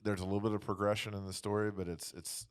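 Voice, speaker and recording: A man's voice: lowish voice, little pitch movement, quick pace, clean tone. A man in a casual group discussion, giving opinions emphatically and repeating himself as he works out a point. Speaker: podcast panelist.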